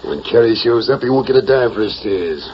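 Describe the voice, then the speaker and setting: A man's voice: on the low side, expressive, brisk, lightly acted. A man plays a hot-tempered western villain, a cattleman full of bluster. His delivery swings up and down in old radio style. The sound is muffled with some background noise. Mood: impatient. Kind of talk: 1940s radio western acting. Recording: dull, noisy 1940s radio recording.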